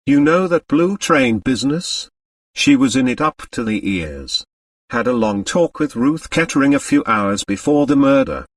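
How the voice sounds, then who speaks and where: A man performs a breezy upper-class English chap in an audiobook. He is naive and cheerfully tactless, speaking in clipped phrases with lively pitch, in a dry recording. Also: moderate pace, gravelly, no echo, far from the mic. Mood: naive delight.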